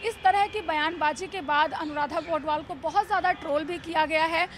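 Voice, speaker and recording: A woman reporting and interviewing for the news speaks in a very high, forceful, bright voice. Her phrasing can halt and repeat as she puts questions together. Faint traffic and outdoor city noise sit behind her. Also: fairly even intonation, normal speed.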